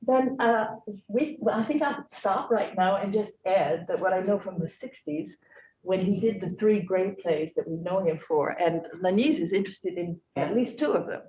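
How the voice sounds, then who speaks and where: A woman's voice: medium pitch, moderate pace, darkish tone, slight background noise. Woman professor moderating in echoey room. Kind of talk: panel conversation.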